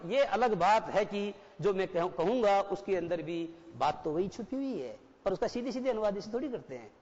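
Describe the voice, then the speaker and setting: A man's voice: high voice, a little mellow. A man in his forties teaching a class, who explains step by step and keeps asking the students questions. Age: 40s. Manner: mixes Hindi and English. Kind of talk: classroom lecture. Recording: dull broadcast audio with long reverb.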